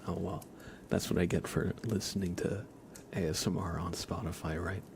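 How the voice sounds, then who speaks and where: A man hosting an ASMR livestream, chatting softly and casually in a hushed, unhurried way that often slips into whispering. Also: deep voice, level tone, vocal fry, background noise. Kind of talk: soft ASMR livestream chat.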